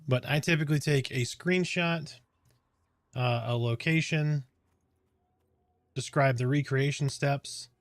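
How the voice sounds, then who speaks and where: A man with a calm, soothing voice chatting casually on a game stream, explaining things step by step in a relaxed, rambling way. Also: mid-range voice, slow, long pauses, no room sound. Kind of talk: casual live-stream chat.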